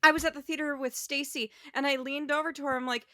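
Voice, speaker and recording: Bright, animated woman podcast co-host. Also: high voice, fast, dry recording, clean studio sound. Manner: animated, blunt, swears freely. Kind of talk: casual chat.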